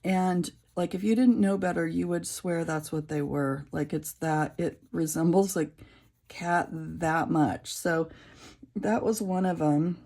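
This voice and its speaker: A middle-aged woman with a low, raspy voice and a gravelly edge talks while coloring. Her tone is dark and mellow. She rambles casually, with plenty of fillers and restarts. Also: steady tone, a little slow. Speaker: coloring channel creator.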